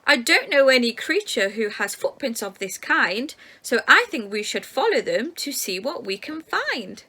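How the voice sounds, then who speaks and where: A woman plays a wide-eyed storybook bear in shock. The voice is fairly high, bright and forceful, with urgent emphasis. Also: very animated, measured pace, husky, exaggerated voice.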